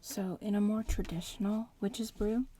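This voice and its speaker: A woman playing a bratty young witch in training, whispering breathily in a hushed ASMR roleplay. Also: mid-range voice, steady tone, slight background noise, lightly acted. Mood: calm, playful. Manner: slow, hushed, unhurried, murmuring.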